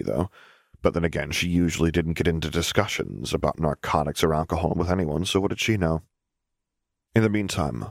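A man reading a scripted story as an audiobook narrator, measured and dramatic. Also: low voice, gravelly, dry recording, clean studio sound.

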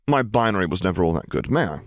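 Man as a dry, low-voiced exasperated officer. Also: very animated, quick pace, dry recording. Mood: testy. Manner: sarcastic, straight-faced.

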